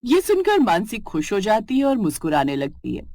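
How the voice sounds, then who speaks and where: Female narrator for an animated story, calm and even, dry studio sound. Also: medium pitch, moderate pace, dry recording.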